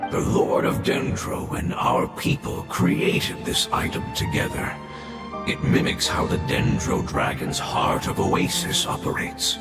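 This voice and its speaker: An actor voicing a dying ancient creature in a game, solemn and weary, with a gravelly voice. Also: male voice, exaggerated voice. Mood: earnest. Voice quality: gravelly, very deep. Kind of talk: game voice acting. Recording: echoey with game music behind.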